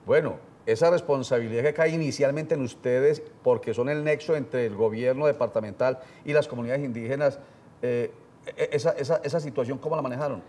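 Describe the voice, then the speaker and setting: A man hosting a public affairs interview, asking questions in a measured way and slowing down to stress facts. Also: medium pitch, a little mellow. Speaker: host.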